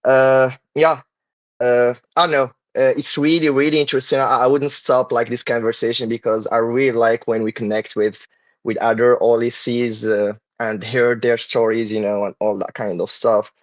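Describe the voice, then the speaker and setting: A man's voice: mid-range voice, flat delivery, moderate pace, dry recording. Podcast host, a man from Morocco, hesitant and full of fillers; dull, dry, lo-fi sound. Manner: hesitant, many fillers and restarts. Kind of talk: casual podcast discussion.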